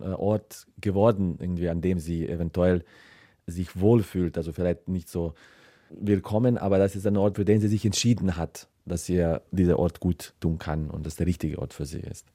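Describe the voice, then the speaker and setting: Deep, very dark-voiced man. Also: little pitch movement, moderate pace, breathy edge, dry recording, clean studio sound. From former Yugoslavia. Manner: hesitant, searching, hedging phrases. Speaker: writer, interview guest. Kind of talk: unscripted interview answers.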